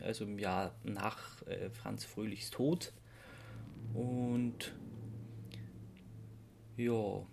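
A podcast host talks in a casual, conversational way. The voice sits at a middle pitch. The delivery is halting, with searches for the right word, and has an easily amused manner. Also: a little mellow, slight background noise.

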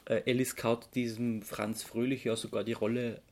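A man's voice: medium pitch, level tone, normal speed, a little mellow. Guy cohosting a podcast, austro-bavarian accent, explains stuff casually, rephrases himself. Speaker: podcast co-host.

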